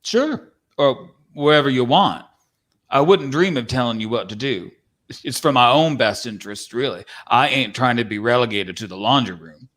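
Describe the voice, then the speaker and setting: A man's voice: medium pitch, moderate pace, fairly bright tone, lightly acted. A man playing a folksy, tender, caring cowboy-like companion in a dramatic play reading. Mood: tender, encouraging.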